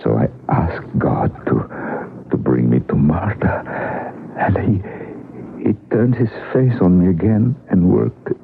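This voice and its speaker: An actor playing a grieving, haunted male concert pianist in a vintage radio drama, speaking in a halting, solemn, brooding way. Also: deep voice, big pitch swings, gravelly, narrow, tinny audio, full character voice. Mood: reverent, fading. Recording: noisy, band-limited old radio broadcast.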